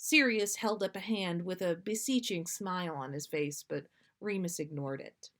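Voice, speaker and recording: A woman's voice: fairly low pitch, normal speed, a bit bright. A woman reading fiction aloud as a storyteller and podcast host.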